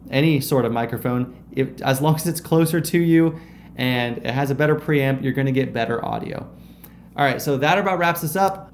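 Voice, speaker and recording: A man's voice: on the high side, a little mellow, slight background noise. A young man in his twenties giving a casual, upbeat tutorial to camera, explaining and gesturing as he goes, recorded on a close microphone in a small room. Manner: upbeat, explanatory, gestures a lot while talking. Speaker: video creator teaching audio tips. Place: small indoor room with shelves. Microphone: close shotgun mic on a stand in front.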